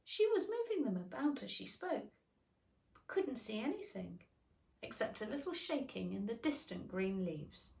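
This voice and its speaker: Expressive woman narrating, echoey room. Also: fairly high pitch, normal speed, vocal fry, distant mic. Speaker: teacher reading to pupils. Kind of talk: expressive children's storybook narration.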